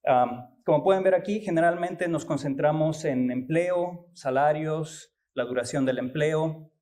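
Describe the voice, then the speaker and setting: Halting man giving a lecture. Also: a little high, darkish tone. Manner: halting, many fillers and restarts. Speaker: guest lecturer. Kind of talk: academic conference talk.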